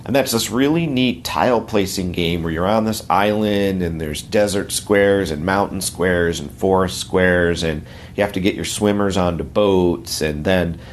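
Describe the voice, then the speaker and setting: A hoarse middle-aged man from the United States, rambling in a friendly way as a podcast regular and sharing tips. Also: low-pitched, unhurried, few pauses, a little hiss or hum. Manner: rambling, friendly, self-correcting. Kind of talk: casual podcast segment.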